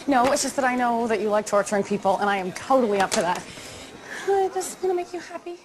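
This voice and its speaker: Sitcom young female doctor, played by a woman: very high, animated, gushing, awkwardly keen to please. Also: quick pace, noisy background, close to an everyday voice. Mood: flustered eagerness. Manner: gushing, flustered, self-correcting. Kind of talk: sitcom acting.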